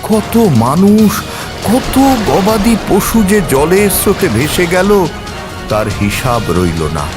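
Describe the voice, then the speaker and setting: A man's voice: sits high, huge pitch swings, slow. A man narrating a scripted story in a theatrical, suspenseful way, with music and storm ambience behind.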